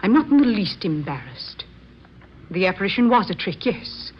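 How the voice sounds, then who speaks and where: Actress as imperious wife and spirit medium for radio drama: slightly low female voice, theatrical, muffled hissy recording. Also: big pitch swings, close to an everyday voice. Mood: lofty defiance. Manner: theatrical, measured, condescending. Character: haughty, selfish wife and séance medium, coolly defiant. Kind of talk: old-time radio drama acting. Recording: muffled, faintly noisy old radio recording.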